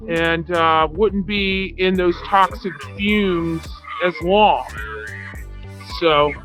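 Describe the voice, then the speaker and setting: A woman's voice: on the low side, fairly even intonation, very slow, music in the background. A woman giving a rambling, unscripted true crime commentary in a self-assured, boastful, argumentative way.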